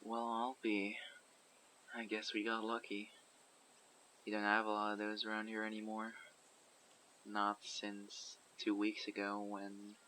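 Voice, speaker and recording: A man's voice: fairly low pitch, bright, forceful tone, slight background noise, poor audio. A man playing a guarded survivor leader. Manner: measured, probing, matter-of-fact. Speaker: community leader questioning a newcomer. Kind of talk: audio roleplay. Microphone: USB condenser microphone.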